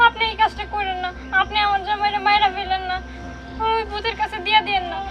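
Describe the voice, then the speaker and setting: A desperate new bride played by a woman with a very high voice, begging in a fast, insistent rush. It is amateur film melodrama with music behind. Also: level tone. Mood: desperate pleading. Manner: pleading, insistent, colloquial. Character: desperate, pleading newlywed wife. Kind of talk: amateur horror-comedy short film acting.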